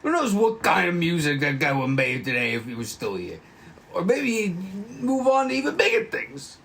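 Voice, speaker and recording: A man's voice: high voice, sing-song, normal speed, gravelly, fairly bright tone, exaggerated voice. A man playing a lazy, gossiping police officer in an amateur script read, with faint noise. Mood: wistful admiring. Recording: noisy room recording.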